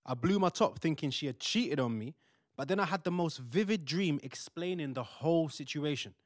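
A man's voice: on the high side, expressive, moderate pace, no echo, studio quality, close to an everyday voice. A man playing a devout young husband-to-be in a modern audio drama, earnest and colloquial. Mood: earnest persuasion. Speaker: actor in audio play.

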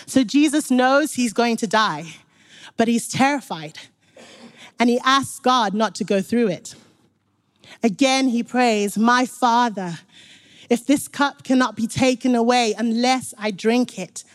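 Woman from Zimbabwe preaching earnestly. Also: fairly high pitch. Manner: earnest, encouraging, direct to the congregation. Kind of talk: sermon.

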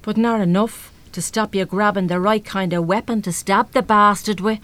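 A woman's voice: mid-range voice, fairly even intonation, normal speed, fairly bright tone, natural voice. A woman with an Irish accent playing a battered but defiant working-class mother in a live radio play. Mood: low-key, prying. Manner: blunt, salty, plain-spoken.

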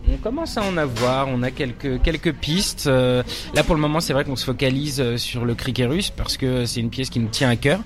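A young man from France who acts and directs on stage talks through his answers in an interview. He stops often, fills gaps with hesitations and restarts his sentences. His voice sits at an ordinary middle pitch for a man. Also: normal speed, hardly any pauses. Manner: hesitant, many fillers and restarts.

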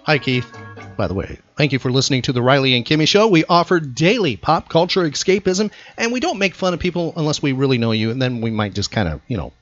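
A man's voice: mid-range voice, lively intonation, fast talker, soft background music. Playful male podcast host, dull lo-fi sound. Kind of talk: chatty trivia show hosting.

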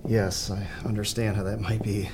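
A man playing an earnest, troubled psychiatrist in an audio drama, speaking in a measured, polite, halting way. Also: fairly low pitch, flat delivery, noisy background, natural voice. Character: earnest, hesitant male psychiatrist, troubled but professional.

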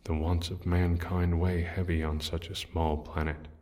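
A man playing a weary, bitter old painter in an audio drama, speaking in a grave, deliberate and brooding way. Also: low voice, flat delivery, darkish tone. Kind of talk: audio drama narration and dialogue.

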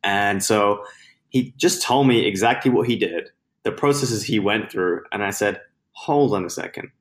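A young man from London with a British accent, chatting upbeat as a podcast guest about his business start. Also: on the low side. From London, England. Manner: chatty and upbeat, with quick laughs. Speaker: podcast guest, Amazon seller. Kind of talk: casual interview chat.

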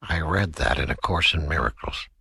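A man playing an earnest, doubtful seeker in an audiobook dialogue, husky, conversational and incredulous. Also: very deep voice, moderate pace, sharp tone, dry recording, full character voice.

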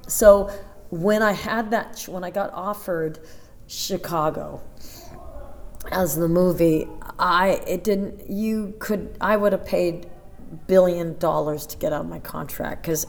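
A woman in her fifties, a dancer, chatting in an interview and telling animated stories with many restarts and asides. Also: lowish voice, slow pace, creaky. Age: 50s. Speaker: interview guest, Broadway dancer. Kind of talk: casual interview chat.

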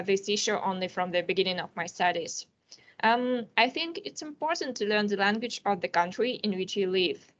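A woman's voice: mid-range voice, fairly bright tone, lo-fi sound. Russian-accented young woman, hesitant speech. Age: teens. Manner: careful, with frequent hesitations. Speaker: international student and podcast guest.

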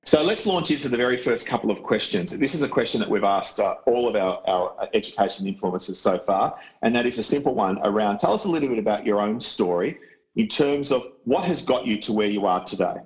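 A man co-hosting a podcast, asking measured questions with some fillers. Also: on the low side, lively intonation, poor audio. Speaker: podcast co-host. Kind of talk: podcast interview.

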